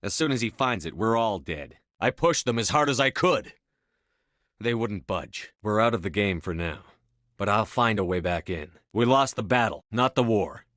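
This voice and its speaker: A man's voice: lowish voice, expressive, moderate pace, a bit bright, no echo, studio quality. A man voicing a resolute, earnest human starship commander in a video game, firm and clipped in his delivery. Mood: angry, frustrated, then matter-of-fact. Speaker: voice actor. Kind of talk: video game dialogue voice acting.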